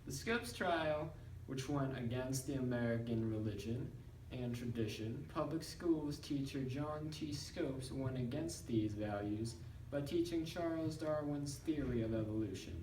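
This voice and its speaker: A young man reading a scripted school newscast report in a halting, recited manner. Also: medium pitch, darkish tone. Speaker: student news presenter in a class project. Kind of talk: scripted narration read as a newscast. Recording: roomy, distant mic with background noise.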